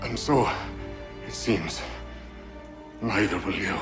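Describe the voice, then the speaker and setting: A colonial villain in a video game, imperious and sure of his cause. His lowish voice is theatrical and grandiose, with faint music underneath. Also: takes it slowly. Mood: bitter. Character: imperious, self-righteous colonial land baron villain. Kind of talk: dramatic game acting in period speech.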